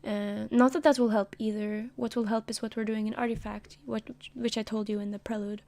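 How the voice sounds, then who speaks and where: A woman's voice: fairly high pitch, little pitch movement, fairly quick, creaky, a little mellow. Giggly woman chatting on stream. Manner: chatty, giggly, jokey asides. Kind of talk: casual stream chat.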